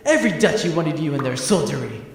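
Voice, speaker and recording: A man's voice: on the high side, big pitch swings, quick pace, heavy reverb, long reverb tail. A man playing a sarcastic, combative space-marine sergeant in a sci-fi audio drama, blunt and commanding. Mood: confrontational.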